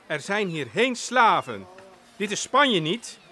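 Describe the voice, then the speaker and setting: A man's voice: sits high, very animated, slow pace, pushed and bright, heavily acted. A man playing a stern, righteous official who upholds the law with emphatic authority in an audio drama. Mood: firm, insistent.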